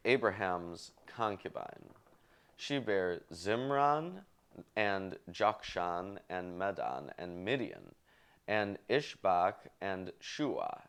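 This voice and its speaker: A man hosting a show, reading scripture aloud in a deliberate, list-like way with casual asides. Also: low voice, fairly bright tone, smooth. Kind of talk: halting read-aloud of Bible genealogy with casual asides.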